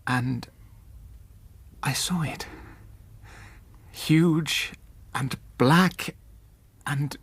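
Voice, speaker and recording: An actor playing an earnest, haunted country doctor in a radio drama, with a grave, halting delivery. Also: male voice, fairly high pitch, slightly rough, no room sound, full character voice. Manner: grave, halting, hushed for effect.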